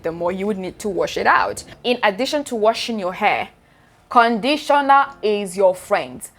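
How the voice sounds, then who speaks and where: A young Nigerian woman with a Nigerian accent, giving chatty, direct hair care advice to her viewers in a casual vlog. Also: medium pitch. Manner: chatty, direct, addresses viewers as sis and guys.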